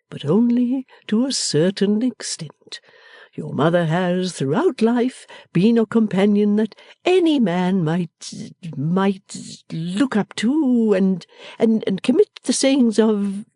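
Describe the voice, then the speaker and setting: Woman reading as a timid little old father, gentle, hesitant, husky, lively pitch. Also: no room sound, studio quality.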